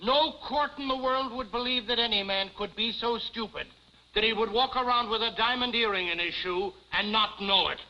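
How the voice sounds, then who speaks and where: A blustering small-town police chief, sarcastic and suspicious. He declaims in a very high, forceful voice with exasperation, in 1950s TV comedy style on a muffled old soundtrack. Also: normal speed, exaggerated voice. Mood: accusing, exasperated. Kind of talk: 1950s television comedy acting.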